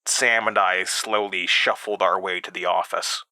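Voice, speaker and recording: A man narrating a first-person horror story in a deliberate, halting manner with dramatic pauses. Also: low voice, fairly even intonation, pushed and bright, no echo.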